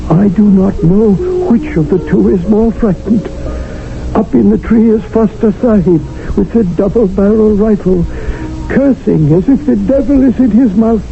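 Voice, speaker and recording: An actor playing a wry, watchful servant who narrates in formal phrasing. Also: male voice, high voice, creaky. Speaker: narrator and gun bearer. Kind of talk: 1970s radio drama acting. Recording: tinny lo-fi radio broadcast with music.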